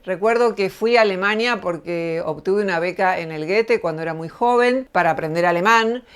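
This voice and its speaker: A middle-aged Argentine woman hosting her channel, giving a measured, thoughtful spoken essay. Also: on the low side, clear, resonant tone. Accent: Argentine Spanish. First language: Spanish. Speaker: channel host, lecturer. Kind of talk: reflective spoken essay to camera.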